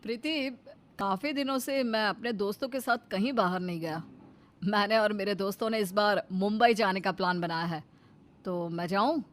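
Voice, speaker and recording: A woman's voice: mid-range voice, moderate pace, slight background noise, natural voice. A woman voicing a tired, dismissive young husband in a cartoon story, speaking in an exasperated, defensive manner. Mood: pleading.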